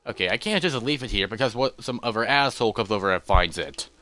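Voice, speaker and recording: A man's voice: on the low side, expressive, fast, sharp tone. A man voicing an anxious, easily distracted elephant adventurer who mutters to himself with repeats and hesitations. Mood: stressed, fretful. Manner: fretful self-talk with repeats and hesitations.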